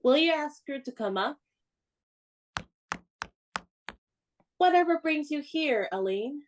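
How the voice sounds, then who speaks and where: A composed young lady of a radio play, acted by a woman. Her voice is high, with lively intonation. She is polite and direct, keeping her guard up. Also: normal speed, slight background noise. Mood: polite, curious.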